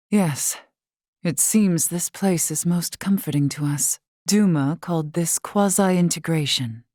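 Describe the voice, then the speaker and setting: A woman voicing a composed, scholarly otherworldly woman in a video game, with formal, measured and elaborate diction. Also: lowish voice, darkish tone, no room sound, clean studio sound. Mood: thoughtful, calm. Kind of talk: scripted video game character acting.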